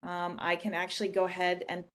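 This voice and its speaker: A woman giving a casual software demonstration in a conversational, explanatory way. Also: fairly low pitch, completely flat delivery, a little fast. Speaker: software demo presenter. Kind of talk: casual screen-share product demonstration.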